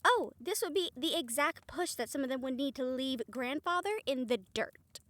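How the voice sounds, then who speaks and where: A woman playing a devoted, excitable young vampire bride-to-be in a fantasy romance audio roleplay, expressive and affectionate. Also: high-pitched, normal speed, no echo. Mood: hesitant, resolute. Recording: faint music behind the voice.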